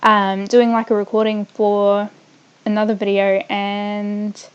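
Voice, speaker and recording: A young woman from New Zealand with a New Zealand accent slightly softened by Australia, chatting on a casual gaming livestream and rambling off mid-sentence as she builds. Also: medium pitch, monotone, slow, clear, resonant tone, dull audio. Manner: hums and makes small random noises while working. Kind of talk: casual livestream chat while building in a game.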